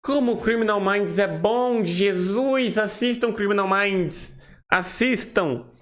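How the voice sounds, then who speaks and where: A man's voice: sits high, takes it slowly, a bit bright. A man from Salvador, Brazil with a Bahian accent, hosting a casual reaction video with chatty, emphatic interjections. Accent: Baiano (Salvador, Brazil). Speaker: reaction host.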